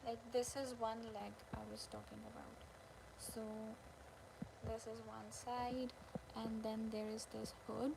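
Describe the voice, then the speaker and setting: A woman hosting a casual craft livestream, chatting with viewers in short, halting phrases with pauses. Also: fairly high pitch, animated. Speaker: live stream host, crocheter. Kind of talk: casual livestream chat with viewers, showing handmade work. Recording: clearly noisy background ambience.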